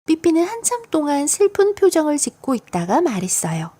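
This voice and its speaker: A woman's voice: high voice, sing-song, fairly quick, dark tone. A woman reading a scripted children's story as an audiobook narrator.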